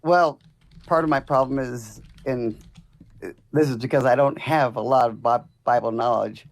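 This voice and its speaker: A woman in her sixties with a low voice, a stubborn, defensive caller arguing on a call-in show. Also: normal speed. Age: 60s. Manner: stubborn, defensive, talks over the host. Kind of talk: call-in argument.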